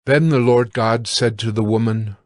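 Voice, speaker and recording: A man narrating scripture from a script in a measured, solemn way. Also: medium pitch, steady tone, darkish tone, no echo, studio quality.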